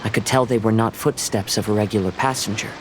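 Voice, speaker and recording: A man's voice: on the low side, slight background noise, close to an everyday voice. Serene young wandering samurai played by a man. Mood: reflective. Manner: serene, polite, measured. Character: calm, gentle young male wandering samurai. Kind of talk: anime game voice acting.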